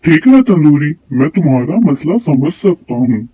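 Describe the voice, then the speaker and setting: A man playing a calm, reassuring and commanding king in an Urdu moral cartoon story, measured and gracious. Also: fairly high pitch, breathy edge. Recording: phone-like thin sound with music behind.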